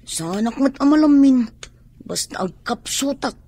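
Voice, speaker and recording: An actress playing a weary, unwell, complaining young spouse in a radio drama, with an Ilocano accent. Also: female voice, high voice, expressive, measured pace, dark tone, slight background noise. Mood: weak, weary.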